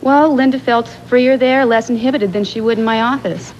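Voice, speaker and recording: An actress playing a measured, formal psychiatrist defending herself in a courtroom drama. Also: female voice, a little high, steady tone, a little mellow, natural voice. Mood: composed, defensive. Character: composed, self-justifying female psychiatrist on the witness stand. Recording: muffled soundtrack with faint noise.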